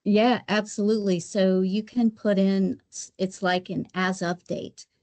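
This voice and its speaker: A woman's voice: mid-range voice, fairly even intonation, unhurried, darkish tone. A woman giving an explanatory webinar presentation step by step, sometimes repeating her phrases as she goes. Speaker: guest presenter, credit rating specialist.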